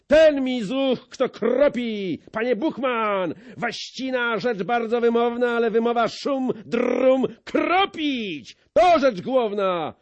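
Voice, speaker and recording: A man reading verse aloud and voicing a fiery old nobleman who shouts his words. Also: very high-pitched, takes it slowly, highs cut off, pitched above the performer's own voice. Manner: shouting, emphatic. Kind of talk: audiobook poetry reading.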